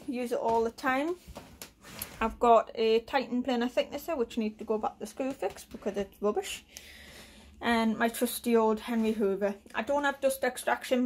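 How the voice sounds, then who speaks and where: A woman from the UK giving a casual tour of her small shed workshop, listing tools with lots of fillers while filming on a handheld phone. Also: fairly high pitch. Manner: relaxed, listing items with frequent fillers. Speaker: woodworking vlogger.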